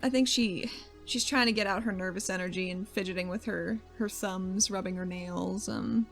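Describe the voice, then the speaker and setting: A woman's voice: mid-range voice, steady tone, normal speed, gravelly, faint music behind. A woman playing a tabletop roleplaying game, speaking informally as she describes and voices her character. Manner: conversational, informal. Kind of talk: casual roleplay and reactions.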